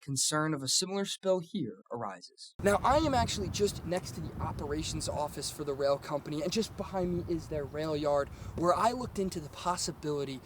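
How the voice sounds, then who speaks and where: Young guy doing a tv news report, voice a bit high, faint noise behind him. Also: lively intonation, brisk, hardly any pauses. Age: young adult. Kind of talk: scripted broadcast news narration.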